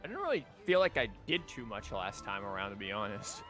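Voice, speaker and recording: A man's voice: lowish voice, huge pitch swings, brisk. A man doing casual live game commentary as a streamer, with wry, rambling asides. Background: game music.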